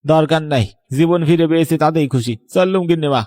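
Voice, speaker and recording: Casting: man as jumpy, tipsy cartoon farmhand, superstitious, excitable, close dry sound. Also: a little high, a little fast, dry recording, natural voice. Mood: shaken, pleading. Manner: servile, excitable. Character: superstitious, easily frightened tipsy village farmhand. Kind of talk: Bengali cartoon dubbing.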